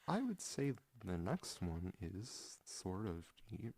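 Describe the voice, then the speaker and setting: A man's voice: low-pitched, sing-song, slow, dark tone. A man co-hosting a casual podcast about music, speaking in a hesitant, stammering way with dry delivery. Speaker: podcast co-host.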